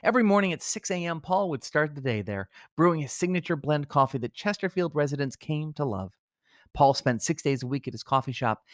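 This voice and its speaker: A man with a fairly high voice co-hosts a comedy podcast. He trades banter and reads aloud with mock solemnity. The sound is close and dry, with very little room in it. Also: animated, a little fast, dry recording.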